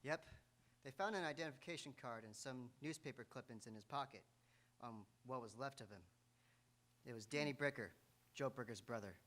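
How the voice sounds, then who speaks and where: A man acts a blunt crime investigator for radio theatre. The voice sits at middle pitch, terse and matter-of-fact. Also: brisk, fairly bright tone, natural voice. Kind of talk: live radio drama acting. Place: auditorium stage, live radio theatre.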